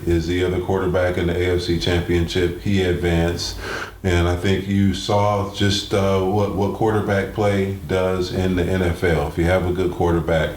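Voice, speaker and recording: A man hosting a sports talk show, giving game analysis in a halting, deliberate way. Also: low-pitched, a little mellow. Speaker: sports radio host. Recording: roomy echo, clear background noise.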